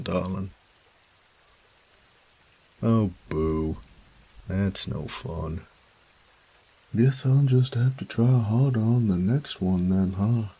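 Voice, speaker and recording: Casting: male actor as charming, teasing boyfriend for romantic audio roleplay; deep, very husky drawl, folksy pet names. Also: dark tone, heavily acted, poor audio. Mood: playful.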